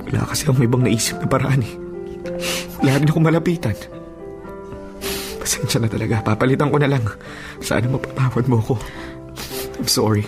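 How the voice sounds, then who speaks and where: A man's voice: medium pitch, natural voice. A man in his twenties playing a humble, apologetic, grateful young laborer in a radio drama. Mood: ashamed, pleading. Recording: music playing under the voice.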